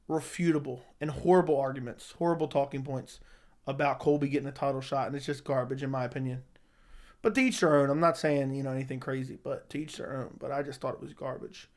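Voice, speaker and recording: Slightly hoarse young man livestreaming. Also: a little high. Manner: opinionated, talks straight to chat. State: slightly hoarse, losing his voice. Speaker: livestream host answering chat. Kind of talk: casual live chat.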